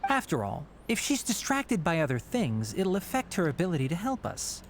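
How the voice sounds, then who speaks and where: A man playing a sensible, caring young mentor in a video game, speaking in a measured, articulate and reassuring way. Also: sits high, huge pitch swings, heavy background noise, exaggerated voice. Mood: concerned. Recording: game music behind the voice.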